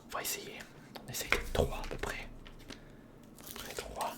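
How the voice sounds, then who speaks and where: An ASMR creator, speaking in a breathy whisper close to the microphone, casually talking through hands-on work and repeating short phrases. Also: normal speed. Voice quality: breathy, mostly unvoiced whisper. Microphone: close studio recorder microphone.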